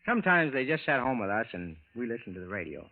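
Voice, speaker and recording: Good-natured ordinary husband, 1940s radio drama, folksy and hesitant, lively pitch, thin vintage broadcast. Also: male voice, fast, phone-quality sound. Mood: folksy recounting. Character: Frank, ordinary good-natured husband telling a strange tale. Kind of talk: 1940s radio acting, first-person narration.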